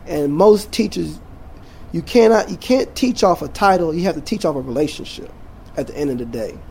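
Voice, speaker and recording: A man in his forties from Jamaica, a teacher, talking in a casual interview, relaxed and rambling with many fillers and restarts. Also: fairly high pitch, moderate pace, creaky, slight background noise.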